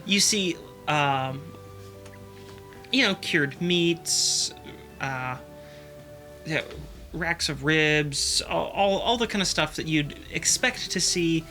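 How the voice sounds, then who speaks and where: Man game master, bright and a bit high, sniffly, unhurried scene-setting over faint music. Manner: descriptive, halting with fillers. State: sniffly from allergies. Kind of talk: narrating a tabletop game. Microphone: desk microphone.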